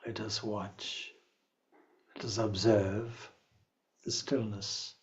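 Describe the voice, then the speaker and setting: A man leading a guided meditation as a spiritual teacher, speaking calmly and in a measured way with long pauses. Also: fairly low pitch, dull audio.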